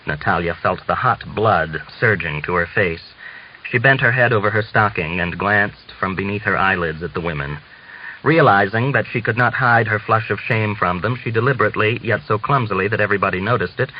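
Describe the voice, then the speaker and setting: A man reading a literary novel aloud as scripted narration, in a measured manner. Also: fairly low pitch. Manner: measured, acts out dialogue. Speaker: audiobook reader. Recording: muffled lo-fi audio with clear hiss.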